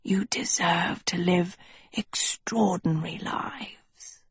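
A woman voicing an old, mysterious male schoolmaster in a breathy whisper, slow, smug and silkily condescending in a storybook reading. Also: lowish voice, fairly even intonation, no room sound, exaggerated voice. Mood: softly persuasive.

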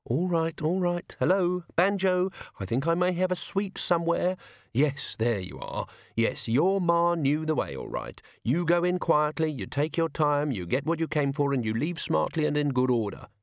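A man's voice: on the high side, very animated, normal speed, no echo, clean studio sound, pitched above the performer's own voice. A man voicing a neat, fussy, careful middle-aged thief with a precise, prim voice.

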